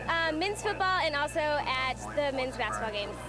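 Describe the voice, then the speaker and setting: A woman's voice: high voice, animated, normal speed. A young woman from Indiana, a college cheerleader, speaking in an introductory segment. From Indiana, USA. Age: young adult. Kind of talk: introduction to camera. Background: loud music.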